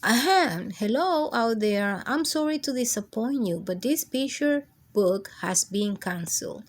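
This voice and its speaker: A woman's voice: a little high, expressive, a little slow. A woman playing a theatrical crayon in charge of a picture book, addressing the reader in a formal, dramatic way. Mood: apologetic, formal. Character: theatrical crayon stage manager telling of a ruined book.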